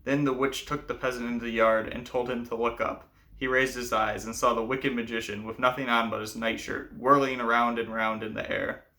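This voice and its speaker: A man's voice: medium pitch, flat delivery, fairly quick, sharp tone. A man speaking as a storyteller and host, presenting folk tales in a narrated, explanatory style. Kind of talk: scripted fairy tale narration, then explanatory commentary.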